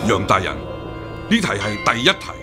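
A man with a Hong Kong Cantonese accent playing an unhurried, courteous senior examiner in a radio drama, measured and formal. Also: lowish voice, wildly swooping pitch, loud music behind the voice. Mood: calm.

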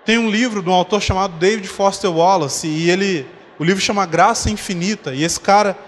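Man preacher, 30s, fairly high voice, conversational sermon with jokes, handheld mic, long hall echo. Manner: conversational, explains slowly with humorous asides. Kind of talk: conference sermon. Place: dark church stage. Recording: long hall reverb, faint noise.

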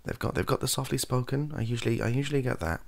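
A young man chatting softly in a rambling, self-deprecating ASMR style, hesitant and husky, close to the microphone. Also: mid-range voice, fairly even intonation, fast. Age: young adult. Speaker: ASMR video creator. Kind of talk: softly spoken ASMR chat. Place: small bedroom at a desk.

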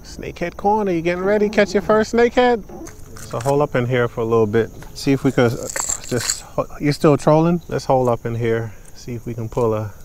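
A young man vlogging outdoors from a kayak on a lake, talking excitedly to the camera while fishing, recorded on a body-worn action camera. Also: mid-range voice, fairly quick.

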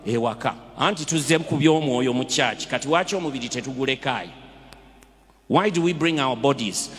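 A Ugandan-accented man preaches from the pulpit, his voice sitting a little high for a man. He drives his points with rhetorical questions and urgent exhortations. A long church reverb trails every phrase. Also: fairly bright tone. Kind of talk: sermon. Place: large church.

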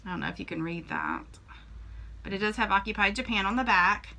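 A woman's voice: mid-range voice, lively intonation, brisk, gravelly, sharp tone, slight background noise. A woman, casually showing off flea market finds in a homey, chatty way with frequent ums, recorded on a camera mic in a small room. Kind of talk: casual show-and-tell vlog. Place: small room indoors with shelves.

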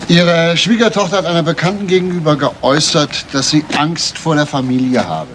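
An actor playing a persistent, composed homicide detective in a German crime radio play, matter-of-fact and clipped. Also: male voice, a little high, normal speed, background noise, natural voice. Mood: probing, composed.

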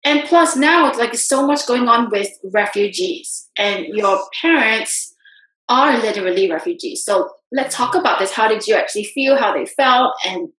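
A woman's voice: on the high side, expressive, moderate pace, a bit bright. Woman host on a video call, curious probing questions, roomy echoey sound. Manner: probing questions, laughs easily. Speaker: interview host.

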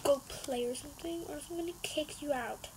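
A woman acts out a mean young babysitter for a toy-game story. She is high-pitched and sneering, bossing and huffing lazily over a very noisy recording. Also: brisk, natural voice. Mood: contemptuous, bossy. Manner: sneering, bossy, exasperated sighs. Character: rude lazy mean young female babysitter. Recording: noisy home recording with clicks.

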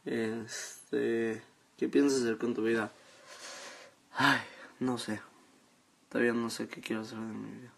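A seventeen-year-old young man with a Mexican accent, chatting casually and haltingly as he reads out and answers comments on a live stream from his phone. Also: mid-range voice, level tone, creaky, a bit bright, slightly rough. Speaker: social media live streamer. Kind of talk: casual chat, reading out and answering viewer comments. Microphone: phone at arm's length.

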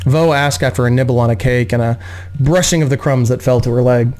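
A man's voice: mid-range voice, a little fast, darkish tone. A man in his thirties reading a fantasy story aloud as dramatic audiobook narration, close to a desk microphone. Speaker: reader of a play-by-post game journal. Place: small home room, indoors.

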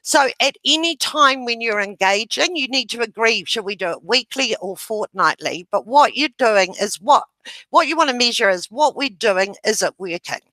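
A middle-aged woman from New Zealand giving a webinar over a video call with a headset, explaining a method in a loud, gesturing way. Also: a little high, lively intonation, moderate pace, dry recording, rough recording. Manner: loud voice, gestures while talking. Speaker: webinar presenter. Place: home room, indoors.

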